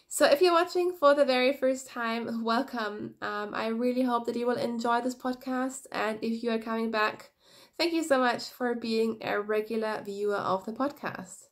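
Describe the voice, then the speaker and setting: A young woman with a fairly high voice talks quickly and eagerly to her viewers, a little sniffly from a head cold. She hosts a knitting podcast, and the sound comes from a phone at arm's length in a small room, with no proper microphone. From Germany. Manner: quick, energetic, chatty. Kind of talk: casual chat to camera. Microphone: phone camera at arm's length, no external mic.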